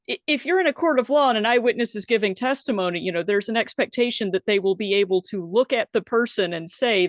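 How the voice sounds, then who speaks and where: A woman's voice: a little high, no echo. Woman host on muffled call audio. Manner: earnest, uses fillers like "you know". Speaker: podcast host. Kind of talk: casual interview questions.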